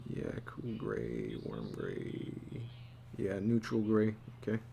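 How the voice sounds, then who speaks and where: A man giving a casual drawing tutorial, thinking aloud in a halting, muttering way as he works. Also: deep voice, huge pitch swings, creaky, dark tone, slight background noise. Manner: halting, muttering to himself while working. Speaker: storyboard artist, tutor.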